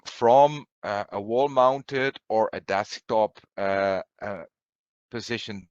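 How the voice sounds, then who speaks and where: Low-voiced man presenting in dull, roomy audio. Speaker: product presenter. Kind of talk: webinar product presentation.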